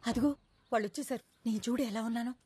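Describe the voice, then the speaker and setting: A woman's voice: fairly high pitch, rapid-fire, warm tone, slight background noise, lightly acted. An actress playing a watchful, discreet middle-aged village mother in a film. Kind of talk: naturalistic film acting. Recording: music bed under film dialogue.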